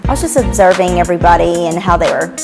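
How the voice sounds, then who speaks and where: A woman, a judge in a competition, telling her story in an interview in a lively way. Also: on the low side, fast talker, creaky. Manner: animated storytelling. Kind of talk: confessional interview. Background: background music.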